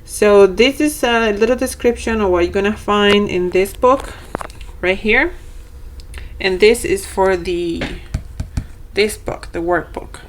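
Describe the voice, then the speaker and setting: A woman's voice: mid-range voice, slow pace. Young woman, Argentine Spanish accent, native Spanish speaker, chatty walkthrough, frequent ums and restarts, faint background noise. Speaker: homeschooling parent reviewing a curriculum. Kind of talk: casual product walkthrough.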